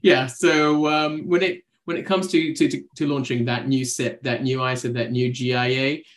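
A man, a guest on a podcast, giving a persuasive pitch for his company's product. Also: level tone, moderate pace, slight background noise. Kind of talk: podcast interview answer.

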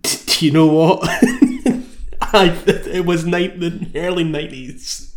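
A man's voice: fairly high pitch, slow. A man in his thirties taking part in casual quiz banter. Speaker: quiz podcast contestant.

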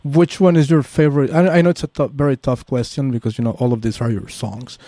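Brief: Mexican man hosting in English, halting and friendly-paced questions, mellow voice. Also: medium pitch, steady tone, no echo, clean studio sound. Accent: Mexican Spanish. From Mexico City. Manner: halting, many uhs, repeats "excellent". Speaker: radio show host. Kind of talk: interview.

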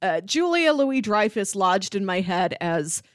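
A woman's voice: medium pitch, very animated, unhurried, dry recording, studio quality. A woman speaking as a podcast co-host in a casual, conversational discussion. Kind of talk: casual chat discussing sitcoms.

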